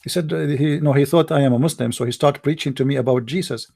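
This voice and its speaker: A man whose first language is Arabic, giving a heated casual monologue, often pausing and searching for words. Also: mid-range voice, creaky, mellow, husky. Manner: halting, searching for words, many fillers; heated, blunt opinions. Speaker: commentator.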